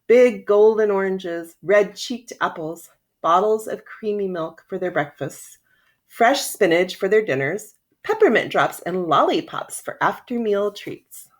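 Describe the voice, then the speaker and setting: A woman reading a children's storybook aloud as a teacher would, with expressive delivery. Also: mid-range voice, a little slow. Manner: expressive, acts out sounds and characters.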